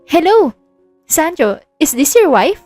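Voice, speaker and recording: High, haughty, catty rival woman, as a storyteller acts her. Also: big pitch swings, takes it slowly, darkish tone, dry recording, soft background music, full character voice.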